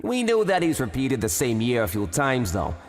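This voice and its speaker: A man's voice: medium pitch, wildly swooping pitch, normal speed. A man voicing a curious, easily astonished student from a handyman club, narrating casually and expressively in an anime-style dub. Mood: puzzled, explaining. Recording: voice over background music.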